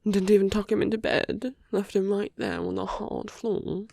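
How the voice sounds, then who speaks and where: A seductive, smug villain acted out by an ASMR performer speaks close and dry, with plenty of gravelly fry. The delivery is intimate and teasing, drifting between a breathy murmur and whispers, as in a romantic audio roleplay. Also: medium pitch, flat delivery, normal speed, a little mellow, no echo. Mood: amused, mocking. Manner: intimate, teasing, drawling, often dropping to whispers.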